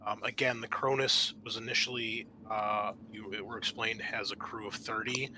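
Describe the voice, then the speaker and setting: A man narrating an improvised tabletop game as game master, halting often mid-sentence. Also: on the low side, bright, forceful tone, slight background noise, rough recording.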